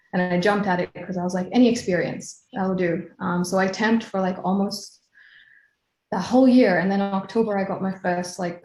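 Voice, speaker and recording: A woman's voice: on the low side, darkish tone, reverberant, low-quality recording. A woman from Kenya in her late thirties, a hypnotherapist chatting casually as an interview guest, laughing easily.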